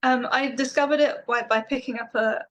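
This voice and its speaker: A woman's voice: on the high side, fairly even intonation, normal speed, muffled recording. A young adult woman from England, a musician guest, talking in a casual interview with many fillers and restarts. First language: Farsi. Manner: reflective, with frequent fillers and restarts.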